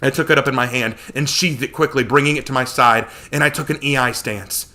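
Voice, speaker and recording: Bright, forceful male story narrator. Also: medium pitch, brisk. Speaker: story reader. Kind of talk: dramatic scripted narration of a fantasy story.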